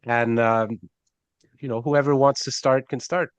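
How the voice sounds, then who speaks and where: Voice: medium pitch, fairly even intonation, measured pace, smooth, dry recording. A podcast host from Canada, enthusiastic and laughing often while hosting an interview over a video call. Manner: enthusiastic, frequent laughs and fillers.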